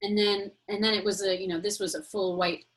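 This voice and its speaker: A woman's voice: mid-range voice, flat delivery, brisk, husky, rough recording. A woman teaching a casual online craft class over a video call, explaining steps in a chatty way. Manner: chatty, friendly explaining with fillers.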